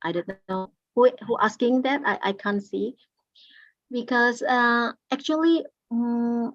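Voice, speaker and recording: A woman speaks over a video call, answering workshop questions. She speaks haltingly, with frequent fillers and restarts. The audio is dull and lo-fi, with a dry sound. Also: a little high, dry recording. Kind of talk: unscripted answers at an online workshop.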